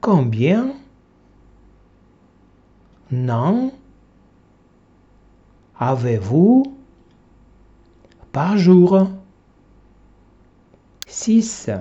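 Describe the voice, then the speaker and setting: A man teaching a language, reading a textbook dialogue aloud one short phrase at a time, with long pauses for learners to repeat. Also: high voice, very animated, mellow. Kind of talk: slow dictation-style reading for repetition. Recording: dull lo-fi classroom recording.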